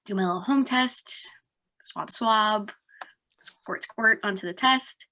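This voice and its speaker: A woman's voice: medium pitch, takes it slowly, noisy background. A young woman from New Jersey, sounding congested, telling a story in a casual podcast chat with lots of "like". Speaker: podcast co-host.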